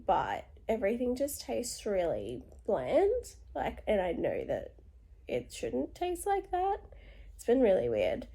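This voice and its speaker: Young woman, vlogger, lost her voice: raspy, gravelly, higher pitch, casual diary delivery. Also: warm tone. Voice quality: croaky. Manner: tired, low-key, upbeat despite illness. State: hoarse from illness; congested, chesty cough.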